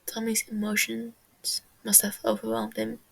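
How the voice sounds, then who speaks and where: A woman reading a story as scripted narration, her phrases often falling into a breathy hush. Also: on the high side, monotone, a little slow, dry recording, poor audio. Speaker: story reader. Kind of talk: scripted narration of fan fiction.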